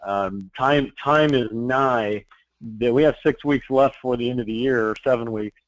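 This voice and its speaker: A man's voice: medium pitch, measured pace, no room sound. A man runs a sales team call, easygoing and encouraging, circling back on his words. His voice comes over a muffled, lo-fi line with no room sound. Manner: loose and encouraging, many fillers and restarts. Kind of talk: casual business meeting talk.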